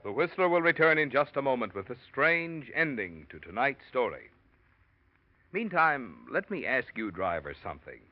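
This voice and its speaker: Genial old-time radio announcer. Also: male voice, mid-range voice, very animated, normal speed, soft background music. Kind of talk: radio commercial read. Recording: dull old radio broadcast.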